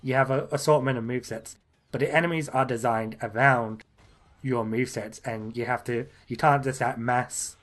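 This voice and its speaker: A man's voice: mid-range voice, fairly even intonation, moderate pace, fairly bright tone. A man co-hosting a gaming podcast, talking casually, laughing often and trailing off mid-sentence. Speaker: podcast co-host.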